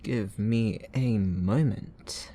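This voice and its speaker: Supervillain for roleplay audio drama, male actor: low voice, unhurried delivery, theatrical, sarcastic, toying playfully with a captured hero. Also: warm tone, no echo. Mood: gloating. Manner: mocking, playful, taunting, chuckling.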